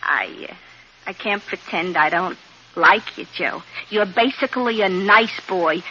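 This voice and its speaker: A woman's voice: mid-range voice, a little slow, bright, forceful tone. An actress playing a prim young working-class woman striving for culture, using pompous big words with affected care, in a 1950s radio drama. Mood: lecturing. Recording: muffled, noisy vintage radio recording.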